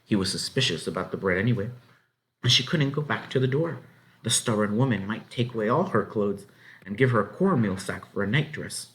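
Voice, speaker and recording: A man reading a children's novel aloud as narrator, in an expressive, dramatic way. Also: on the low side, fairly quick. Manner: dramatic, animated. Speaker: story reader.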